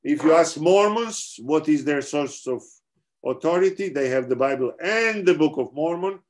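Serbian accent guy teaching theology on a video call, kinda high voice, earnest, restarts his sentences a lot. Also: expressive, normal speed. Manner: earnest and explanatory, with frequent restarts. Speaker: theology lecturer. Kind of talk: online seminar lecture.

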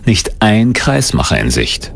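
A man's voice: lowish voice, wildly swooping pitch, a little slow, creaky, noisy background. A man narrating a documentary from a script in a dramatic, suspenseful manner.

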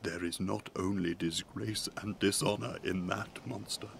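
An actor playing a drunken, self-important butler, pompous and aggrieved in a Shakespearean audio drama. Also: male voice, low-pitched, steady tone, unhurried, vocal fry, a bit bright, a little hiss or hum, full character voice.

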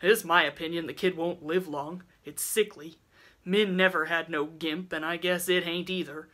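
A woman's voice: fairly low pitch, fairly even intonation, moderate pace, bright, forceful tone. A woman narrator acts out a hard-drinking old country woman from a storybook. She is coarse and callous, and talks in blunt, truculent rustic dialect. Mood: callous, candid. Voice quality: grunting.

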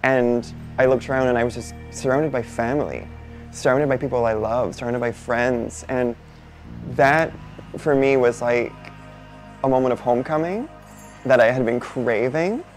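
A non-binary speaker with a male-sounding voice in their twenties, from Barbados, answering interview questions in a thoughtful, unhurried way with many soft fillers. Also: mid-range voice, faint music behind. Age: 20s. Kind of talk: reflective personal interview. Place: indoor studio before a painted backdrop.

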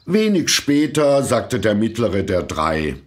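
A man narrating a fairy tale from a script in a measured, expressive storytelling manner. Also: lowish voice.

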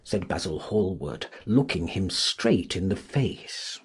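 A man's voice: sits low, lively intonation, takes it slowly, slightly rough. A man narrating a novel as a scripted audiobook.

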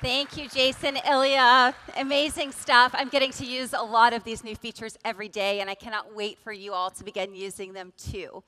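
Bright, enthusiastic woman hosting a keynote. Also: on the high side, little pitch movement, normal speed, few pauses, a little hiss or hum. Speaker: keynote host and general manager. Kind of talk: scripted keynote presentation. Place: large conference stage, indoors.

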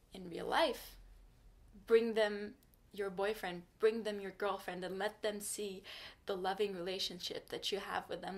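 A woman's voice: medium pitch, vocal fry. A young woman from Turkey, a native Turkish speaker, talking frankly to the camera from her small bedroom in a casual vlog. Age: young adult. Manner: earnest, frank, advice-giving. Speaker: vlogger. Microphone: camera in front at desk distance.

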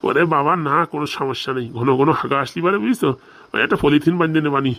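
Someone voicing a rambling old village priest, quick-talking. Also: medium pitch, fairly bright tone, natural voice. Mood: reassuring. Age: older. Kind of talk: comic cartoon dialogue in rural Bengali.

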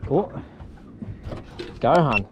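An Australian woman chatting casually while fishing from a small boat outdoors, excitable and cheering, caught on an action camera. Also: a bass voice, very slow. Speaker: fishing vlogger. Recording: dull lo-fi sound with loud wind and water.

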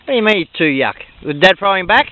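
A man's voice: high-pitched, big pitch swings, quick pace, bright, forceful tone. A man filming his young son fishing, calling out short encouraging instructions outdoors. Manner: coaching, encouraging, short repeated commands. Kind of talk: casual instructions while filming. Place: outdoors by a bush creek. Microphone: handheld or head-mounted camera mic. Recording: tinny lo-fi camera audio, faint outdoor noise.

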